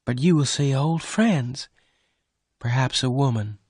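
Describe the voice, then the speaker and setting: A man voicing a gentle, lonely young woman who speaks softly and sadly. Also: mid-range voice, unhurried, no echo, studio quality, pitched above the performer's own voice, natural voice. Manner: soft, sad.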